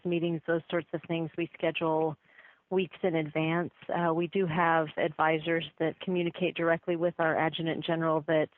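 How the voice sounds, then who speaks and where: A woman's voice: low-pitched, no room sound. Courteous office woman on a tinny phone line. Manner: deferential, apologetic, formal courtesy. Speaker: receptionist or office assistant taking calls. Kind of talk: polite business phone call. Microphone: telephone.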